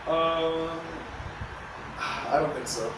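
A man's voice: a little high, drawn-out words. A man acting a kind, protective, reassuring uncle in a live stage play. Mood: reluctant. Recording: echoey stage, distant mic, loud noise.

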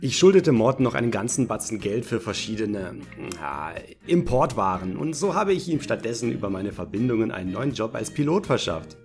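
Man acting low-voiced genial scheming older storyteller. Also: very animated, brisk, hardly any pauses, soft background music. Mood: jovial, grand. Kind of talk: German detective audio play parody.

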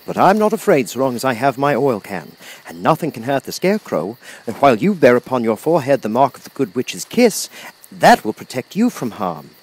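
A man voicing a gentle, protective man made of tin in a storybook. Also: mid-range voice, big pitch swings, fairly quick, a little hiss or hum, close to an everyday voice, poor audio. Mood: reassuring. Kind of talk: storybook character voice.

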